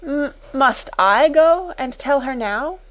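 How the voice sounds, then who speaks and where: A woman's voice: mid-range voice, expressive, moderate pace, close to an everyday voice, rough recording. A woman voicing a timid, tearful, bewildered meek sister in a storybook, anxious and stammering. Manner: stammering, anxious, breathy panting.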